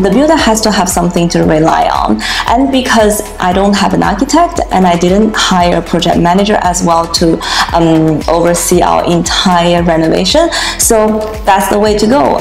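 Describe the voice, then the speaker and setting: Woman explaining with frequent "um"s. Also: on the low side. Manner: steady and explanatory, frequent "um" fillers. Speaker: home renovation vlogger. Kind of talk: explaining a renovation plan to camera. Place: bright home kitchen, indoors. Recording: echoey room with faint music behind.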